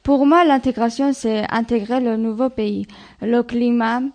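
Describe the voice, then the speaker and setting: A young woman from Georgia with a fairly high-pitched voice. She is a student speaking on radio in a non-native language. Her delivery is prepared but hesitant and halting. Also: steady tone, darkish tone. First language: not French. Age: young adult. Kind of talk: prepared personal testimony.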